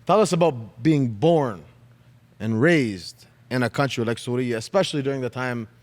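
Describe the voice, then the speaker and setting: A Canadian man hosts a podcast chat, eager and casual, winding through long questions and restarting sentences. His voice sits at an ordinary middle pitch. The room adds a noticeable lingering reverb. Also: normal speed, a little mellow. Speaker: podcast host.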